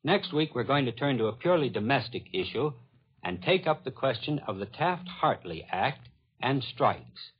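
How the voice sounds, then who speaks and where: Man, American accent, husky voice, earnest emphatic radio host, 1940s commentary, muffled lo-fi broadcast. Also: medium pitch, lively intonation, normal speed. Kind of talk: 1940s radio public-affairs commentary, extemporaneous summing up.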